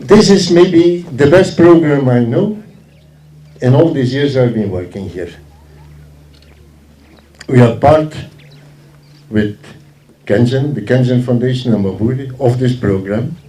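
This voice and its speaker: A man's voice: medium pitch, a little slow, pauses often, mellow, a little hiss or hum. A man giving an informal public speech as a guest at a ceremony, emphatic and repeating phrases for effect. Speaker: guest speaker from a forestry organisation. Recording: echoey speech heard from far off.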